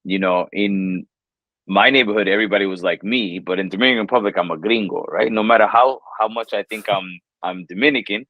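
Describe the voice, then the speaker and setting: Laid-back New York guy, low voice. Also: brisk, dry recording, highs cut off. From New York, USA. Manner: relaxed, rambling, lots of "like" and "you know". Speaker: English teacher, guest. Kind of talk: casual interview chat.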